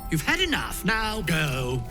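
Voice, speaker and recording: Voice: medium pitch, wildly swooping pitch, takes it slowly, over music. An actor voicing a haughty, territorial cat in a video game, imperious and clipped. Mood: haughty, hostile.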